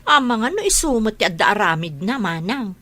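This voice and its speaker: A woman's voice: mid-range voice, very animated, a little fast, a bit bright. A woman acting a sympathetic, inquisitive younger sister in a radio drama. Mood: concerned, probing.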